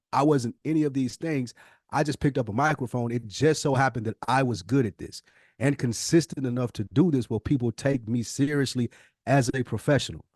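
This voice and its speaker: Male podcast co-host, mid-pitched voice, dry close sound. Casual but insistent persuader who drives points home with repetition. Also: a little mellow, no echo. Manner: emphatic, insistent, repeats phrases in lists. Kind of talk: casual conversational sales pitch.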